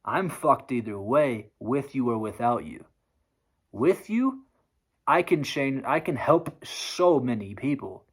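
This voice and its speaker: A man's voice: medium pitch, lively intonation, slow, breathy edge. A man lecturing bluntly and profanely in a motivational vlog, his voice sore and strained.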